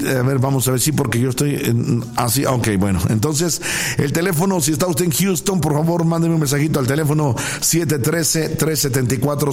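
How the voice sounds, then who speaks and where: Effusive Mexican pastor on the air. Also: male voice, medium pitch, a little mellow. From Puebla, Mexico. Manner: repeats words and phrases emphatically; effusive, devout, blesses listeners. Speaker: pastor hosting a live radio and TV broadcast. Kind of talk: casual live talk, greeting listeners and reading messages.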